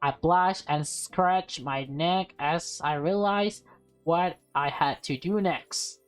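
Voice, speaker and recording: A woman with a slightly low voice reads a story game aloud at a slow pace. Her delivery is casual and halting, with stumbles and self-corrections. Faint music plays underneath. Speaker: gameplay streamer reading a visual novel aloud. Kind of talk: casual let's-play read-aloud with commentary.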